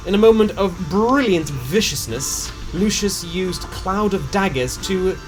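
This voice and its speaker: A man's voice: high voice, expressive, unhurried, poor audio. A man narrating a tabletop game story recap in a casual, dramatic storytelling way with some slang. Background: music.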